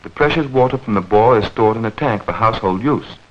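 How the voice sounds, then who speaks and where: A man narrating a 1940s educational newsreel in a measured, clearly enunciated, explanatory manner. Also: fairly low pitch, lively intonation. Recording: dull vintage 1940s film soundtrack.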